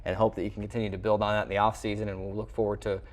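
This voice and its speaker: A man's voice: fairly low pitch, level tone, racing. A man hosting a local sports talk show, interviewing a guest in a broadcaster's conversational style.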